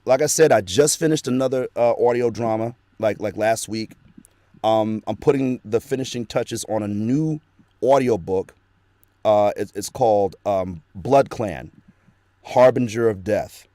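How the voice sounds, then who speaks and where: A man's voice: on the low side, unhurried, mellow, dry recording. A man who works as a voice actor, talking earnestly and at length as a guest in a casual interview, recorded in a small home studio. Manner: earnest, rambling storytelling.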